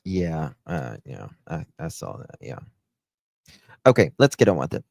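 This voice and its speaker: A middle-aged man with a Southern US accent, hosting a casual livestream into a close desk mic in a halting, unhurried way. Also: a bass voice, big pitch swings, gravelly, a little mellow, dry recording. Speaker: livestream host. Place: small room at home, indoors. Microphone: close desk microphone.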